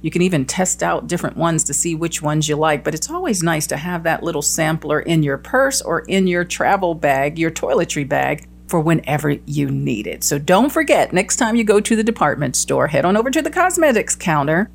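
Woman presenter, low gravelly tone, animated delivery, short tips spot. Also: a little fast, few pauses, slight background noise. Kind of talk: scripted tips segment.

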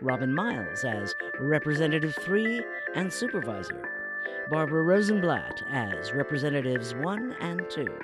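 Loud music plays behind a woman announcer. Her voice is low-pitched, with lively intonation. She delivers a scripted credits read.

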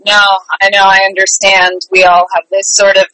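A woman's voice: mid-range voice, completely flat delivery, moderate pace, pushed and bright, dry recording, low-quality recording. A woman chatting casually and upbeat as a guest in an interview about her work. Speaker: theater company artistic director, guest.

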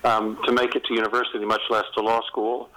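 An older American man from North Carolina telling stories as an interviewed novelist, in an animated, conversational way with emphatic repetition. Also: fairly low pitch, quick pace, low-quality recording. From North Carolina, United States. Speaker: novelist being interviewed as guest.

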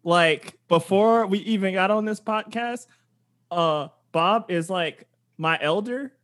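Man, high voice, playful, laughs a lot, casual podcast chat. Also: slow pace, fairly bright tone. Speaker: podcast co-host.

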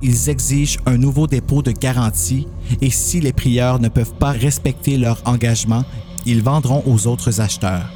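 A man's voice: mid-range voice, dark tone. A man narrating a scripted horror story as a podcast host.

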